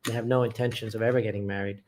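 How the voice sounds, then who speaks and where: A man's voice: lowish voice, steady tone, very fast, a little mellow, poor audio. A man from England hosting a live chat, reading viewer comments aloud and answering them with blunt, matter-of-fact opinions. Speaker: channel host.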